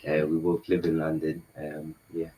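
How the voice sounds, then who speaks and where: A man from Ghana co-hosting a live Q&A stream, answering viewers softly and haltingly with many fillers. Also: extremely low pitch, monotone, rough recording. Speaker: co-host.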